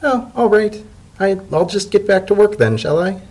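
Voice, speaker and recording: A man's voice: high voice, expressive, moderate pace, darkish tone, husky, pitched above the performer's own voice, heavily acted. A man voicing an unflappable female pony secretary with a casual, matter-of-fact manner in a storybook reading. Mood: puzzled, breezy.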